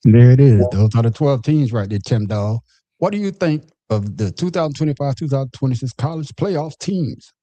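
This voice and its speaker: A man's voice: medium pitch, animated, normal speed, warm tone, dry recording. A man hosting a casual sports podcast, laughing and prompting the others with questions. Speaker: podcast host.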